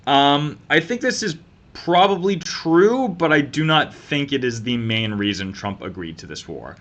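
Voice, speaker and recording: A man's voice: on the high side, expressive, fairly bright tone, dull audio. A man giving an opinionated, sarcastic political commentary rant. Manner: sarcastic, fast-talking.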